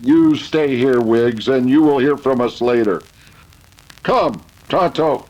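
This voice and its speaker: A man playing a calm, commanding cowboy hero in an old-time radio western. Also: medium pitch, slow pace, lo-fi sound, close to an everyday voice. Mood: assured.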